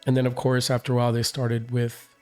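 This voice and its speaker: Hoarse man rambling through a recap. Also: medium pitch, completely flat delivery, quick pace, faint music behind. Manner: rambling, many fillers and restarts. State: hoarse, recovering voice. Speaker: reaction channel host. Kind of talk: casual recap vlog.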